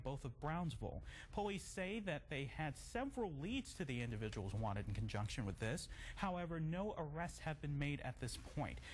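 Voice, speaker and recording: A man's voice: a little high, rough recording. A man with an American accent reading scripted local TV news as an anchor and reporter. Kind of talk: scripted local TV newscast delivery. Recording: noticeable background noise.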